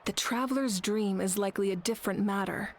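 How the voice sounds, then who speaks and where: A woman playing a calm, dignified and authoritative female leader in an anime game. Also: mid-range voice, a little fast, a little hiss or hum, natural voice. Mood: measured, serious. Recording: faint background ambience.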